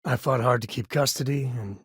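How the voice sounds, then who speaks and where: Sardonic, caring middle-aged space ranger dad for a game; folksy, slightly husky, dry studio sound. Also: male voice, mid-range voice, fairly quick, fairly bright tone, no room sound. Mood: grave, reflective. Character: wry, devoted space-frontier father and ranger, sardonic but caring. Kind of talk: video game companion dialogue.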